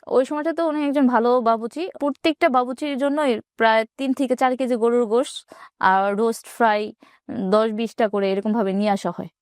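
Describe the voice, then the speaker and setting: A young Bangladeshi woman recounts her own life in an interview. Her voice sits a little high and moves quickly, matter-of-fact in delivery. The sound is dry, with no room around it. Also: fairly even intonation, no room sound. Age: 20s. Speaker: interview guest telling own life story. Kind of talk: personal storytelling in an interview.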